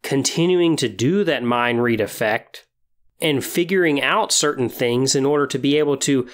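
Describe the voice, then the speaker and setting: A man hosting a tabletop gaming podcast, explaining things in an informal, conversational way. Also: mid-range voice. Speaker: podcast host. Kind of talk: explanatory hobby podcast talk about tabletop role-playing games.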